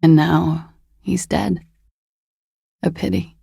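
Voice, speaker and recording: Game femme fatale: world-weary, wry, guarded; slow, unhurried pace, mellow timbre, dry close sound. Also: female voice, fairly low pitch, fairly even intonation, vocal fry, slightly rough, no room sound, full character voice. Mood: melancholic. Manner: languid, hesitant, wry. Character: world-weary, guarded, seductive disco-dancer woman recounting a dead lover. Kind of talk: video game dialogue acting.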